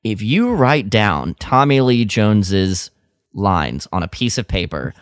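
A man's voice: fairly low pitch, big pitch swings, slow pace, no echo, clean studio sound. A man co-hosting a podcast in casual chat, speaking emphatically and with enthusiasm. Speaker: podcast co-host.

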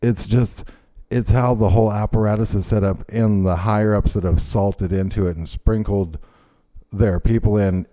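A man's voice: lowish voice, fairly even intonation, moderate pace, warm tone. A man hosting a podcast, ranting in heated, profane political chat and reading an ad near the end. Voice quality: profane, rambling. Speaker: podcast host.

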